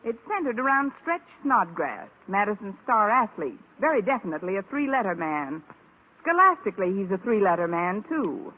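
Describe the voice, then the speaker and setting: A woman playing a wry, quick-witted high school teacher with dry, sarcastic delivery in an old radio comedy. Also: big pitch swings. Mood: dry, narrating. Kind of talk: 1940s-50s radio comedy acting and narration. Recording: noisy, tinny old radio broadcast.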